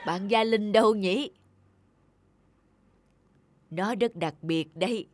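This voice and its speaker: A woman voicing a kindly, jolly old Santa Claus in a cartoon, in a lowered grandfatherly tone. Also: slow pace, some chatter behind, a little hiss or hum, lightly acted. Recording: clear background music.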